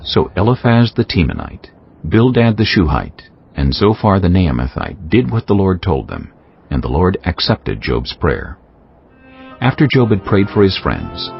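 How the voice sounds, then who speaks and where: A man with a very deep, gravelly voice narrates scripture over a music bed. His pitch moves expressively as he reads the scripted story. The recording is a little muffled. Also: big pitch swings, moderate pace.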